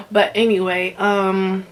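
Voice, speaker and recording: A woman's voice: mid-range voice, fairly bright tone, slight background noise. A woman in her twenties from Nigeria, ranting casually to camera with lots of fillers and restarts. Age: 20s. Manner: rambling, many fillers and restarts. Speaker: vlogger.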